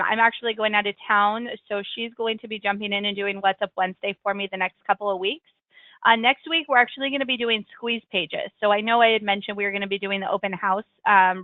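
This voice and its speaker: A woman hosting a software tutorial webinar, talking in a friendly, chatty way with lots of ums, her voice a little raspy from a cold. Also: medium pitch, no echo. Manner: friendly, chatty, frequent ums and self-corrections. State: getting over a cold, slightly raspy. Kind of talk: screen-share tutorial walkthrough. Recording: tinny, dry call-line audio.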